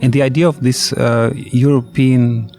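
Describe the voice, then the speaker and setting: A German man being interviewed in English, not his first language, searching for words with plenty of ums and uhs. His German accent is clear, and his voice sits at an ordinary middle pitch. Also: level tone, moderate pace, a little mellow, faint music behind. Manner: hesitant, many ums and uhs, slightly imperfect English. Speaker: orchestra bassist, guest. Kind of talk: interview answers. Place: outdoor courtyard.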